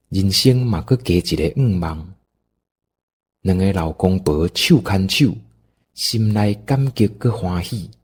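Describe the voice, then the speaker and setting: A man's voice: deep voice, mellow, breathy edge. A man with a Taiwanese accent narrating a scripted radio drama as a storyteller.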